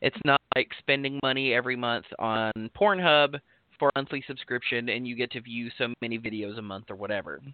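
A man's voice: medium pitch, brisk, no echo. Man on a tinny call, talking frankly. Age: 30s. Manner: rambling, filler-heavy, frank. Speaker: podcast co-host. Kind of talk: casual podcast chat. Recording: tinny phone-like call audio, dry.

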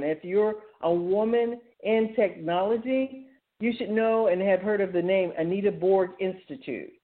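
A thin, phone-like recording carries a woman's voice at a medium pitch. She hosts a show solo, chatting informally through announcements. Her manner is conversational and enthusiastic, like someone promoting things she likes. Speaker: radio show host. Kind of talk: informal solo talk, announcing articles and events. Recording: thin, phone-like narrow-band sound.

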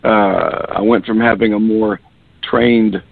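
A middle-aged man speaks with a raspy, gravelly voice that sits low. He talks as a guest in a loose, rambling way, full of fillers. The sound comes dry and tinny down a phone line. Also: takes it slowly, no echo. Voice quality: raspy voice after illness. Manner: relaxed, rambling, many fillers. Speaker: musician guest. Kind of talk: phone interview on radio.

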